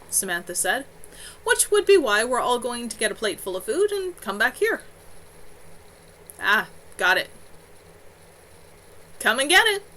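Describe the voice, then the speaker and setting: A woman narrating a novel as its author, in an expressive storytelling style with animated dialogue. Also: fairly high pitch. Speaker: author reading own novel. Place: at home on a live stream.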